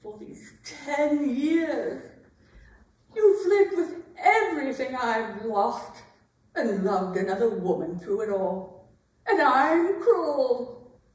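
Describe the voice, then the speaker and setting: Lady doing a scornful older queen on stage, high voice swooping around, slow and biting, super echoey. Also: lively intonation. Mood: bitter, wounded. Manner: biting, deliberate, dramatic delivery. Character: bitter, taunting aging queen, scorned wife and mother. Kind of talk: theatrical staged dramatic reading. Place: arts center stage, recorded from afar. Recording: very echoey hall, distant mic.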